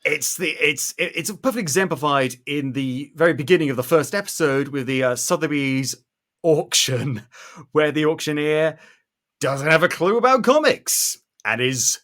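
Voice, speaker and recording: A man hosting a podcast in an effusive, conversational way, often halting as he searches for the right words. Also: a little high, lively intonation, fairly bright tone. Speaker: podcast host. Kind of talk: enthusiastic conversational interviewing.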